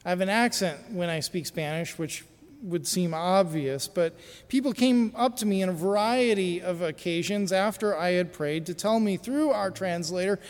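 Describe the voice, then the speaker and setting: An American pastor with a notably high voice tells a story from the pulpit in a relaxed, conversational way, often pausing on small fillers. His words ring out with a long reverb in a church sanctuary. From United States. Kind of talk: sermon with personal story.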